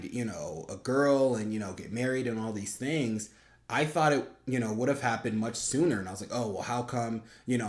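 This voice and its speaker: A man's voice: mid-range voice, a little mellow. A young man giving earnest, reflective faith-based commentary in a conversational, explanatory way, as the host of a review video. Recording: roomy, echoing sound.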